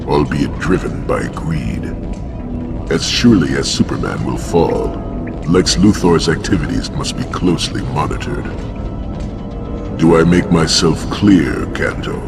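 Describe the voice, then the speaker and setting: Gravelly, very deep alien tyrant. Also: male voice, expressive, loud music behind the voice, exaggerated voice. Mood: cold menace. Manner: slow, imperious, measured. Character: cold commanding alien tyrant lord, older male. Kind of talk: animated superhero game voice acting.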